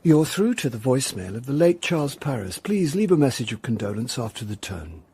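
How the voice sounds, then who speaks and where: A man's voice: medium pitch, very animated, brisk, darkish tone, slight background noise. A man with a British accent playing a jaded, boozy middle-aged actor, wry and evasive, in radio comedy-drama with dry sardonic delivery. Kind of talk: radio comedy-drama acting and wry first-person narration.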